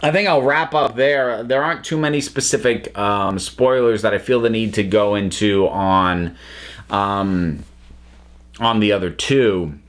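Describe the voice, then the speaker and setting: A man giving an unscripted review to viewers, speaking emphatically and haltingly as he thinks aloud. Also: fairly low pitch, a bit bright. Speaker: reviewer and channel host.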